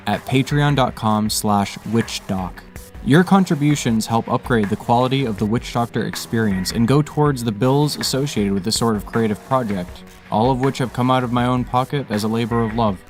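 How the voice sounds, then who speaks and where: A man reading scripted material as the narrator and host of a fiction podcast. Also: medium pitch.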